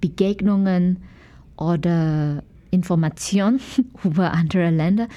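Slow, mellow Malaysian-accented woman. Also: on the low side, muffled recording. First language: English, Mandarin and Malay. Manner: hesitant, searching for words in a learned language. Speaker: guest, dancer and dance teacher. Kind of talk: conversational interview answers.

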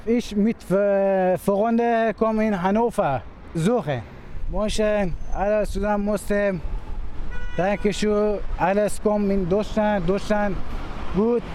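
A man from Afghanistan, a passer-by talking in halting, broken phrases. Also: sits high, fairly even intonation, dark tone, a little hiss or hum. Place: city street outdoors.

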